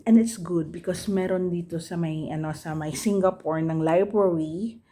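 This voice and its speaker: Mellow Filipino woman chatting casually. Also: fairly low pitch, normal speed. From Philippines. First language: Tagalog. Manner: chatty, switches between English and Tagalog, often hunts for words; laughs easily, repeats phrases. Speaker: book vlogger. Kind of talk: casual book review vlog.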